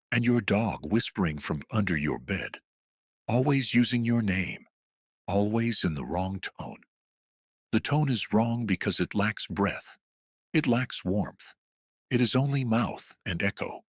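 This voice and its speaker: A man's voice: on the low side, husky. A man narrating a scripted horror emergency broadcast as a solemn, ominous official announcer, in muffled lo-fi audio with a roomy echo.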